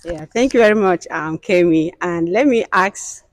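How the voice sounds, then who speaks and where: A woman's voice: lowish voice, normal speed. A woman from Nigeria hosting a casual discussion, talking with many hesitant fillers. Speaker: host.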